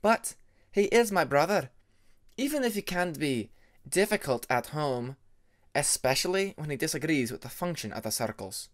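A man voicing a reserved, proud young female dragon student who speaks formally and in measured sentences. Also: a little high, animated, lightly acted. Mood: reserved, fond. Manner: formal, measured, uncontracted speech.

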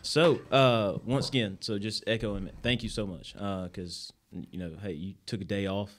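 Man, podcast host, teacher, upbeat, casual, lowish voice, school studio. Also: normal speed. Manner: upbeat hype-man welcomes with fillers.

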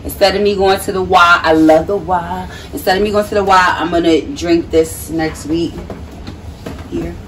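A woman's voice: lowish voice, steady tone, moderate pace. A woman narrating a grocery haul casually, as a home vlogger. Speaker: vlogger, mother. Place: home kitchen, indoors. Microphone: camera mic at a short distance. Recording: distant mic, clearly noisy home recording.

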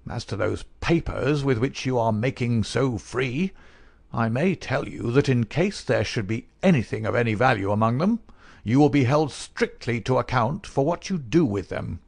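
A man reading aloud, voicing a querulous, miserly old male lord with a sharp crackling voice and an indignant, shrill manner. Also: animated, gravelly. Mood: scolding. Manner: shrill, indignant, rapid-fire.

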